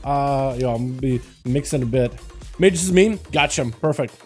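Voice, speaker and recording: A man's voice: mid-range voice, normal speed, soft background music. A young man from Russia streaming a game and chatting loosely through a headset, full of fillers and restarts. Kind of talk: casual livestream chat.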